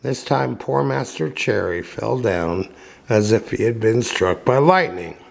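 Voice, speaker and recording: Slow, gravelly male storybook reader. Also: fairly low pitch, animated. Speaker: audiobook reader. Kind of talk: storybook narration.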